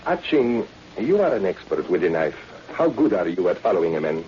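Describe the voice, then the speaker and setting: An actor playing a commanding, coldly calculating crime boss in 1940s radio drama style. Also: male voice, sits low, sing-song, fairly quick, darkish tone. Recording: dull, noisy old-time radio recording.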